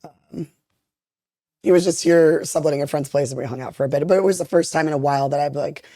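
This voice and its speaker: A young woman in her twenties with a deep voice, chatting candidly and rambling on a podcast, filling her talk with "like". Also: fast, warm tone, no room sound, studio quality. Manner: candid, rambling, heavy use of "like". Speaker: interviewee. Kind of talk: casual podcast chat.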